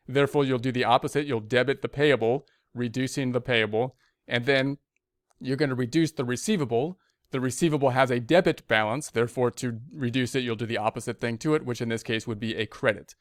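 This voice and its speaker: A man's voice: medium pitch, smooth, no echo, clean studio sound. A man giving an explanatory, loosely scripted lecture as an instructor, repeating and rephrasing as he goes step by step. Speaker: accounting instructor.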